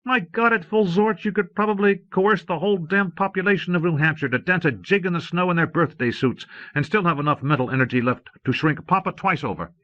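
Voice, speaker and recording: A man's voice: sits high, fairly quick, hardly any pauses, fairly bright tone, no echo, voice pushed up. A man reading an audiobook, voicing a haggard, self-doubting man who argues in an agitated, pleading, insistent way. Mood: agitated, stubborn. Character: haggard, anxious, self-doubting man refusing his brother. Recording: muffled old cassette audiobook transfer.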